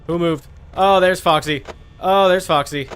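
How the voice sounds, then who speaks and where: A man's voice: high voice, measured pace, fairly bright tone, clean tone, faint music behind. A man livestreaming a video game with casual commentary, muttering to himself, repeating phrases and chatting with viewers. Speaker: livestreamer playing a horror game.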